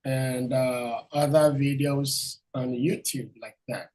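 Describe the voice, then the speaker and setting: A man hosting an online devotional talk, hoarse from losing his voice, welcoming a speaker politely with many hesitations. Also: medium pitch, steady tone, slow, darkish tone. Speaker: host. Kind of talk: formal welcome and introduction.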